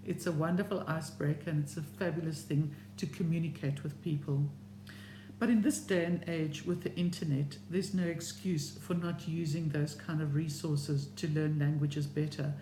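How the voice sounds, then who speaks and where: Encouraging middle-aged speaker, echoey room. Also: mid-range voice. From South Africa. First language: Serbian. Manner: measured, encouraging. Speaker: language learning vlogger. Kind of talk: opinion talk to camera. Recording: echoey room with steady hum.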